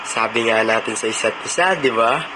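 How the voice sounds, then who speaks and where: Mid-pitched young Filipino male, cheerful loyal buddy acted by a man, motion comic dialogue, heavy noise and clicking. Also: normal speed, lightly acted. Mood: supportive. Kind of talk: amateur motion comic drama. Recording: very noisy amateur recording with clicks.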